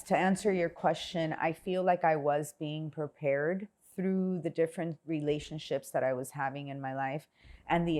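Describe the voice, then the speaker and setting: A woman's voice: low-pitched, a little mellow. A woman in her forties from Miami, speaking as an interview guest in a reflective, searching way, with fillers and restarts. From Miami, Florida. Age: 40s. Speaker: guest, healer and yoga instructor. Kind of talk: casual interview conversation.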